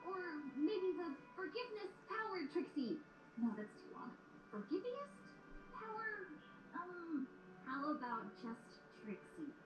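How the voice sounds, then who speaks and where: A woman's voice: very high voice, very animated, slow, exaggerated voice. A woman playing a young female cartoon pony in a fan audio drama, boastful yet earnest, with a theatrical manner. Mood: theatrical, pouty. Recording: muffled, roomy playback with music.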